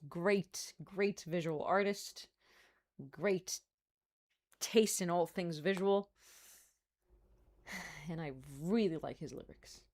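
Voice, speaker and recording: A young woman with a Brazilian accent chats casually on her live stream, her voice sitting a little low. She talks playfully, laughs often and throws in asides. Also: takes it slowly, long pauses, darkish tone.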